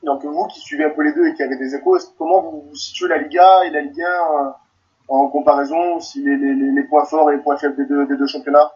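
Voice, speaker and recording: A man's voice: fairly high pitch, flat delivery, fast talker, darkish tone, muffled recording. A man hosting a football podcast, asking a long, hesitant question with repeated words.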